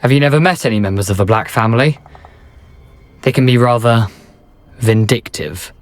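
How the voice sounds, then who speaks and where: Game casting: male actor as a rakish ghost, droll and self-deprecating, mid-pitched, music underneath. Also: moderate pace, lightly acted. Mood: wry. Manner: wry, understated, eloquent. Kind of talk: video game character dialogue.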